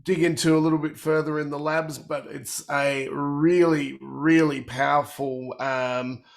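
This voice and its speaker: A man with a cold and a croaky, gravelly voice, presenting a technical workshop and walking through product features. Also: fairly high pitch, flat delivery, a little slow.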